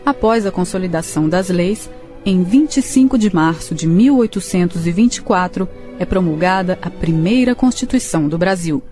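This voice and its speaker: A woman narrating a scripted radio drama in a measured storytelling manner. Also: on the low side, expressive, music in the background.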